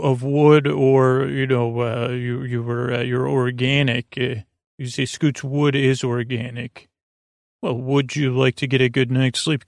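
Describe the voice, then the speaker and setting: A man with a creaky voice hosting a sleep podcast, rambling in a soothing, halting way and stammering over repeated words. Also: mid-range voice, dry recording, clean studio sound. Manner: soothing, lulling. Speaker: podcast host. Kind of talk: rambling bedtime monologue to lull listeners to sleep.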